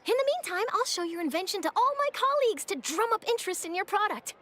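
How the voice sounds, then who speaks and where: A woman's voice: extremely high pitch, big pitch swings, quick pace, a bit bright, heavily acted. A woman voicing an eager, earnest and articulate young female journalist in a video game. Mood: reassuring. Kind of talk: English video game voice acting.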